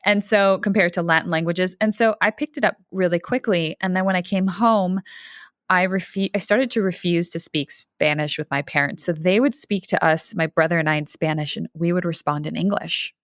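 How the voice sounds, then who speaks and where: A woman in her thirties with a lowish voice, talking in a reflective, thoughtful way as a podcast guest. She fills pauses with "um" and small laughs. The sound is close and dry. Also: no echo. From Canada. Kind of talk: conversational interview.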